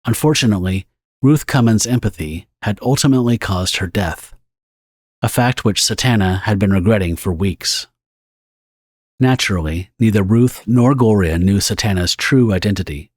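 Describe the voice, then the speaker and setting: A man reading scripted, dramatic story narration for an audio drama in a husky voice. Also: lowish voice, moderate pace, a little mellow, dry recording, studio quality.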